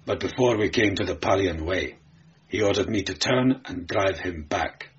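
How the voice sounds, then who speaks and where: A man's voice: sits low, moderate pace, husky, highs cut off. A man voicing a bitter, sullen enslaved charioteer who answers plainly in an audiobook story. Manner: sullen, matter-of-fact. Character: bitter, sullen male slave charioteer, unafraid.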